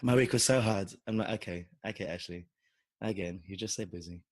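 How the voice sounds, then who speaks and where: Brief: husky british guy, tired, quick teasing podcast co-host, lowish voice, dry close sound. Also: no room sound. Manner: teasing, playful. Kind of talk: casual chat.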